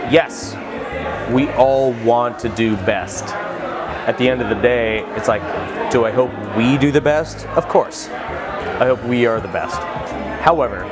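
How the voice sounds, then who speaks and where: A man's voice: medium pitch, slow, long pauses, loud music behind the voice. A man from Maine, a brewer speaking enthusiastically and candidly in a documentary interview.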